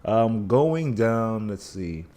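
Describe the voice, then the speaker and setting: Scratchy low-voiced man streaming. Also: very animated, drawn-out words, warm tone. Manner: thinking aloud, restarts, hedging. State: dry mouth. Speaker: livestream trading host. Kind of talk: casual live chart analysis.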